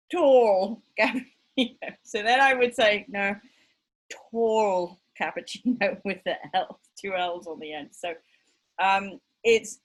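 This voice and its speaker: A woman's voice: mid-range voice, rough recording. A woman with an Estuary English accent from England giving an online linguistics lecture in a chatty, self-deprecating way, with many fillers. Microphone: video call.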